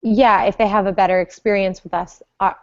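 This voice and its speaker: A woman's voice: mid-range voice, steady tone, a little fast, no echo, highs cut off. A woman on a podcast panel talking about support work, hesitant, with many ums as she thinks aloud. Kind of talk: casual panel chat.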